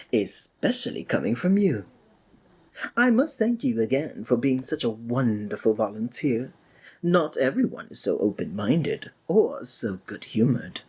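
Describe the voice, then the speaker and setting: Deep, husky, flamboyant sinister stage magician. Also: female voice, darkish tone, exaggerated voice. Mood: gracious, confiding. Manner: flowery, theatrical, genteel, drawn-out phrasing. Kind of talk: ASMR roleplay, theatrical monologue to the listener.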